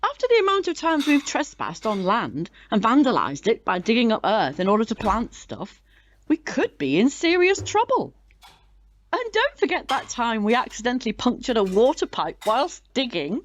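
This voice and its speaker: A woman's voice: a little high, huge pitch swings, moderate pace. A woman playing a chatty, proud older British club chairwoman in an audio drama, genteel and theatrical in manner. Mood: conspiratorial.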